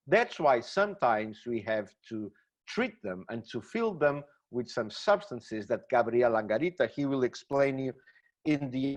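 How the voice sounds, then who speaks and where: Enthusiastic Portuguese-accented lecturer, dull webinar audio. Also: male voice, medium pitch. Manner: enthusiastic, exclamatory. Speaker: gemmology lecturer. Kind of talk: webinar presentation.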